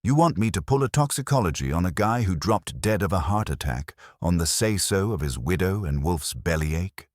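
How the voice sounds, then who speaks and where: A male narrator acts out a blustering middle-aged police inspector from a detective story. The voice is very low and rough-edged, swinging in pitch as he talks with sarcastic impatience. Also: animated, normal speed, few pauses, a little mellow, no echo, clean studio sound. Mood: irritated, blustering. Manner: sarcastic, impatient, growling threats.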